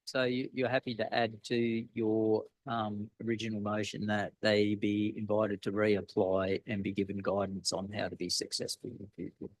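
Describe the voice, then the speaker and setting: A man from Australia, the mayor, chairing a council meeting in a steady, procedural way. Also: on the low side, normal speed, few pauses, dry recording, low-quality recording.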